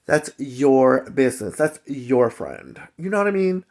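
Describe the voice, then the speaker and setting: A man's voice: medium pitch, wildly swooping pitch, measured pace, a little mellow. A man telling a personal story in a casual, chatty, conversational vlog style with emphatic asides. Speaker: storytelling vlogger.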